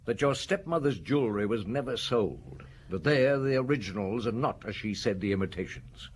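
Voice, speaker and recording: An actor playing a blunt, probing middle-aged police inspector in a radio drama. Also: male voice, mid-range voice, animated, moderate pace, slight background noise, lightly acted. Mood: stern.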